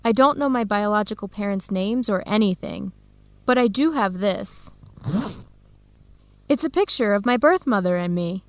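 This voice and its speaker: An actress playing an eager, earnest young Ethiopian-American student researcher in a radio drama for English learners, speaking clearly and in measured phrases. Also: female voice, medium pitch, animated, lightly acted. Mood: grateful, sincere. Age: young adult.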